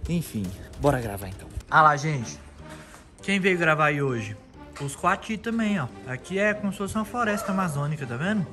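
A man's voice: a little high, lively intonation, a little fast. A young man vlogging casually to a handheld camera, joking and pleading to make amends. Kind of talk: casual vlog chat. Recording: music behind.

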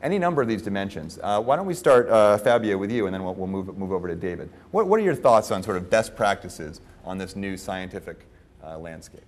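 Man, 40s, panel moderator, fairly low voice, measured articulate delivery, lapel mic, conference stage. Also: expressive. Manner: measured, articulate, with frequent "uh" fillers. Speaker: moderator, professor.

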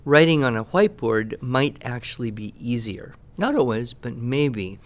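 Measured man giving advice on a podcast. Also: mid-range voice, lively intonation. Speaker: podcast guest expert answering questions. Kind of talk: casual podcast talk, giving teaching advice.

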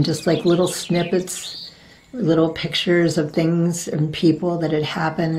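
A woman in her forties speaks to her webcam about her own experiences, her voice a little raspy and gravelly with some vocal fry. Her pitch sits on the low side. She rambles loosely and keeps stopping to hunt for the next word. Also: darkish tone, a little hiss or hum, low-quality recording. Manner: rambling, searching for words with many ums. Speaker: reiki practitioner. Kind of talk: casual spiritual vlog talk. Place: indoors, virtual blossom backdrop.

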